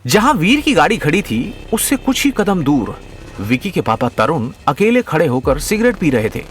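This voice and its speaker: A man's voice: on the high side, fairly bright tone, a little hiss or hum. A man narrating a comic audio story in a dramatic scripted style.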